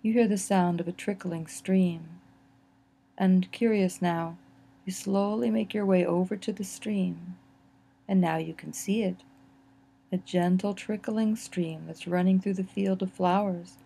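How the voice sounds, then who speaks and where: Low, mellow woman guiding relaxation. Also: steady tone, creaky, husky. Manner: gentle, soothing, unhurried phrasing with pauses. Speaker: guided meditation narrator. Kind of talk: slow guided imagery relaxation narration.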